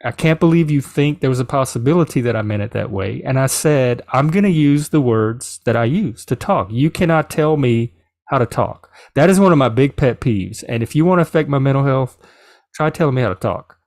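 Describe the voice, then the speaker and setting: A middle-aged man from South Louisiana with a Cajun English accent, telling a personal story in a casual, animated way. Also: medium pitch, brisk, no echo, studio quality. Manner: animated, quoting others in reported dialogue. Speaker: podcast host.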